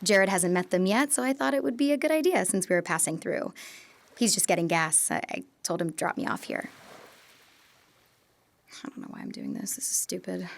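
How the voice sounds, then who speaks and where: A woman's voice: lowish voice, big pitch swings, a little fast, creaky. A young woman performing a radio drama monologue, hesitant and wistful, sometimes dropping to a whisper. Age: young adult.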